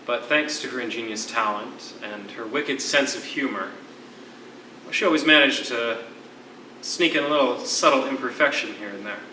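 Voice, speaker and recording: A man playing an imprisoned poet in a live one-act play, eloquent and measured, with a wistful storyteller's air. His voice is strikingly sharp and projected, heard from far off in a roomy space with faint noise. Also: medium pitch, lightly acted. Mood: tender, nostalgic. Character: lyrical, wistful former poetry teacher, a political prisoner. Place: theatre stage, recorded from a distance. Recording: echoey, distant stage recording with faint noise.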